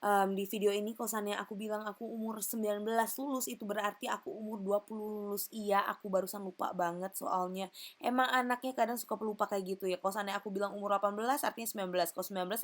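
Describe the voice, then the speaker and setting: A woman's voice: medium pitch, steady tone, fast. A young woman from Indonesia answering viewer questions in a casual vlog, chatty and mixing English into her talk, laughing often. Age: young adult. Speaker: vlogger.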